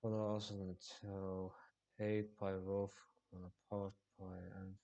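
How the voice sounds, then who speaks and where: Finnish guy rambling on a livestream, lowish voice, lots of restarts. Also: monotone, mellow, highs cut off. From Finland. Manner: rambling, halting, many fillers and restarts. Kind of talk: casual livestream chat.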